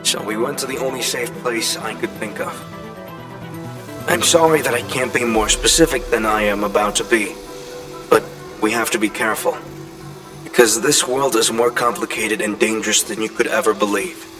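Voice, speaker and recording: A man playing an earnest inventor and leader in a comic dub, delivering a grave, measured, pleading message. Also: on the low side, natural voice. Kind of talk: dramatic comic dub acting. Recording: voice over background music. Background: music.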